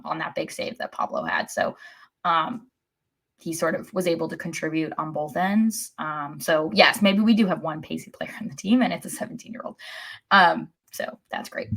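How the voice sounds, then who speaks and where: Female podcast co-host, lower-pitched, measured and a little hesitant, informal match analysis. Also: a bit bright. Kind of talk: casual soccer match analysis.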